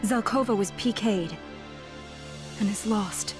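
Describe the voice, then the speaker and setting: A woman's voice: mid-range voice, slow pace, natural voice. An actress voicing an earnest young woman of a guild who formally begs for help. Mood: urgent. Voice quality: formal, polite. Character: young female guild member, earnest and pleading. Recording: game cutscene with music underneath.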